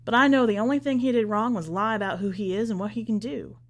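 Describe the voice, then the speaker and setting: A woman's voice: on the high side, steady tone, quick pace. A woman reading a short story aloud as a podcast narrator, with dramatic scripted delivery.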